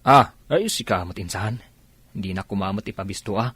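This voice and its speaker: A man's voice: fairly low pitch, normal speed, a bit bright, husky, dry recording. A man acting a cheeky, scheming village cousin in an Ilocano Filipino radio comedy drama, with a bantering, questioning manner. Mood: sheepish, defensive.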